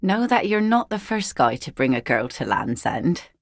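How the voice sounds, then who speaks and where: A woman's voice: on the low side, big pitch swings, moderate pace, dry recording. An actress voicing a weary, sardonic woman who mends nets and minds her kids, speaking in dry, teasing game voice lines. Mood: wry. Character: weary, sardonic adult female net mender with kids.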